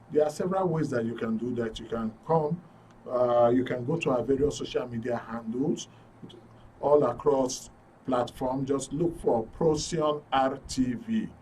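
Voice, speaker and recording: A man's voice: medium pitch, moderate pace. A man with a Nigerian accent presenting a live current affairs talk show in an informal, jocular way. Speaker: host of a current affairs radio and TV programme. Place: broadcast studio. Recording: noisy studio, distant mic.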